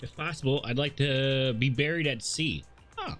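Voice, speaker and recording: A man's voice: mid-range voice, normal speed, a bit bright. A man livestreaming a game in a casual, irreverent way, slangy and sweary as he reads dialogue and reacts. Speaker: streamer. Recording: game music behind the voice.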